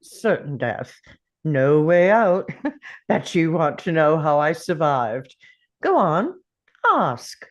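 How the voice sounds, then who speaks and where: A woman playing an ancient, defiant, sardonic male time traveller in a dramatic script table read, speaking theatrically with deliberate pauses. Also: low voice, big pitch swings, highs cut off, exaggerated voice. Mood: breezy, boastful.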